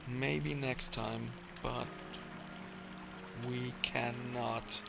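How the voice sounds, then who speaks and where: Non-native male stream host, slow and halting, gravelly tone, game music behind. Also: medium pitch, fairly even intonation. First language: not English. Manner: hesitant, many fillers, reads out viewer comments. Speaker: stream host reading chat. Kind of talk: casual livestream chat.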